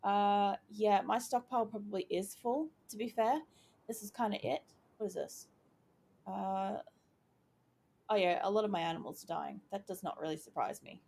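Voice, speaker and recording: A woman playing a video game and chatting as she works it out, excited by each small success, her voice going croaky. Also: medium pitch, moderate pace. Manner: chatty, thinking aloud, easily excited. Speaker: gaming YouTuber. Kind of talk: casual let's-play commentary.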